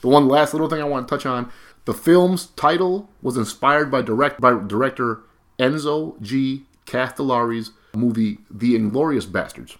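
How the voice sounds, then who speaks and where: A man with a Milwaukee accent, co-hosting a podcast in quick, casual, animated chat. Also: mid-range voice. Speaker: podcast co-host.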